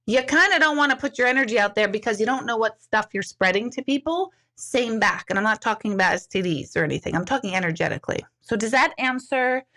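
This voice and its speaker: A woman's voice: fairly high pitch, animated, fast talker, few pauses, sharp tone. A middle-aged woman, an intuitive medium, chatting casually and rambling as she reads out and answers viewers' questions, laughing often. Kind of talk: casual live-stream chat.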